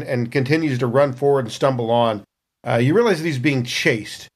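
A man tells the scene of a tabletop role-playing game. His voice sits at an average male pitch, and the delivery is casual and improvised, like storytelling among players.

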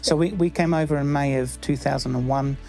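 A middle-aged man from New Zealand with a New Zealand accent, answering interview questions in a halting way with many fillers and restarts. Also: on the high side, steady tone, darkish tone, faint music behind. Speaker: church member.

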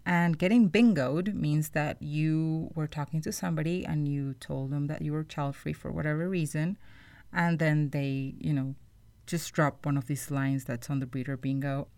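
A woman's voice: low-pitched, steady tone, moderate pace, a little mellow, no echo. A woman hosting a podcast, explaining things to listeners in an informal, conversational way. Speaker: podcast host.

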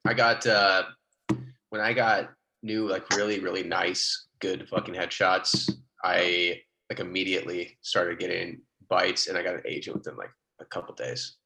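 A man with a lowish, forceful, bright voice chats casually. He is informal and sweary, repeating words as he makes his point. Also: fairly even intonation, normal speed, creaky, low-quality recording. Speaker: working actor, podcast participant. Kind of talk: casual chat.

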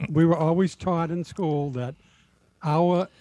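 Boston man, slightly high, mellow, deadpan, dry recording. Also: takes it slowly, no room sound. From Boston area, USA. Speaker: show regular, security chief. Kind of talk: casual chat.

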